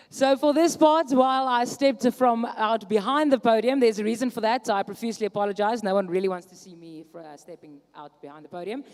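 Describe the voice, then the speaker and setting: Upbeat MC in a big hall. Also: mid-range voice. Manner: jokey, upbeat, builds to shouts. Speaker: event MC. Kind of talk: event announcing. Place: large hall. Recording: long hall reverb.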